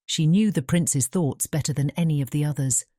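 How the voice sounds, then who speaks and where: A woman with a British accent reading a fairy tale as a measured audiobook narrator. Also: sits low, mellow, no room sound, clean studio sound. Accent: British English.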